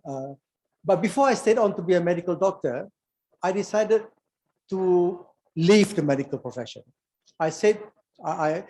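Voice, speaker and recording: A man's voice: a little high, darkish tone, slight background noise, rough recording. Malaysian man in his sixties telling stories. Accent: Malaysian English. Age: 60s. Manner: storytelling, frequent word repeats and restarts. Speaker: doctor and alumni conference speaker. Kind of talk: anecdotal conference talk.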